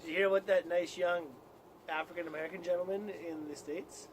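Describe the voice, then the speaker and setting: A man's voice: high voice, steady tone, fairly quick, background noise. A man co-hosting a comedy show with profane, irreverent banter and mock news items. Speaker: co-host of a comedy video.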